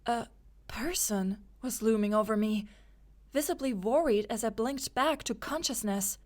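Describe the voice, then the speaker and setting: A woman's voice: a little high, expressive, unhurried. A woman giving suspenseful, atmospheric first-person narration of a visual novel, acting as a college student. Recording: loud music under the voice. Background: prominent background music.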